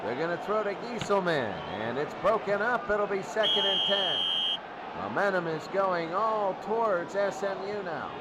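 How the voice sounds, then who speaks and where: A man calling American football play-by-play as announcer, excitable and repeating phrases for emphasis. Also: sits high, moderate pace. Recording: loud crowd roar and heavy noise. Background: roaring stadium crowd.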